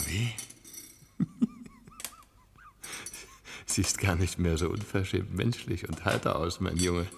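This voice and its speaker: An actor playing a sinister, gloating professor in a radio play, with a creaky voice and a mocking, bossy manner. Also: male voice, rumbling low, exaggerated voice. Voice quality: gravelly, creaky. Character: sinister, gloating male professor, imperious and callous. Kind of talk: German radio play acting. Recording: noisy old radio play recording.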